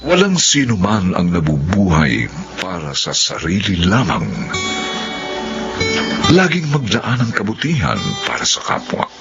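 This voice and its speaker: Slow, gravelly deep-voiced male narrator. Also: wildly swooping pitch. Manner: slow, solemn, measured delivery. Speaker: radio drama narrator and director. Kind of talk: radio drama closing narration and credits. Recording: muffled broadcast audio with music bed.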